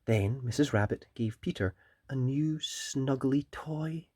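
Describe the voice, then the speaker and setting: A children's story reader and host, narrating a picture book in a gentle, deliberate and expressive way. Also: mid-range voice, dry recording, clean studio sound.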